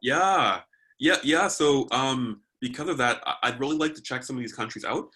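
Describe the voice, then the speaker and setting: Man, from Canada, interview guest, bright tone, thoughtful, hesitant, lots of ums. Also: medium pitch, moderate pace. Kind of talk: casual interview chat.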